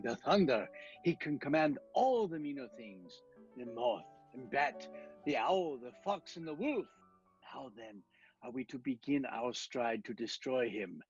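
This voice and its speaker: An actor playing a commanding older professor and vampire hunter in a radio drama, speaking in solemn, oratorical, stilted foreign-sounding phrases. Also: male voice, medium pitch. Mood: grave, rallying. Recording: dull lo-fi audio, music behind.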